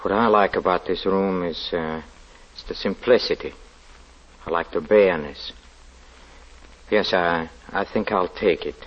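A man's voice: sits low, measured pace, pauses often. An actor playing a weary, zealous male lodger who stammers and turns stern, in old-time radio drama acting. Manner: hesitant, stammering, then sharply stern. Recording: dull old radio recording.

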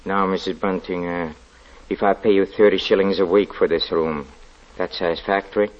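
Guy doing a creepy bible-quoting lodger, real deep voice, halting and tired, old muffled radio sound. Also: fairly even intonation, lightly acted. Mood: weary. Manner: hesitant, stammering, then sharply stern. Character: sinister pious male lodger, weary and zealous. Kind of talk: old-time radio drama acting. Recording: dull old radio recording.

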